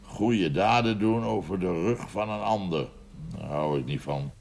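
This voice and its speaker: An actor playing a stern, condescending village notable in a 1970s television drama, blunt and formal, with a gravelly, husky voice. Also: male voice, deep voice, measured pace, a little mellow, full character voice. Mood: stern, disapproving.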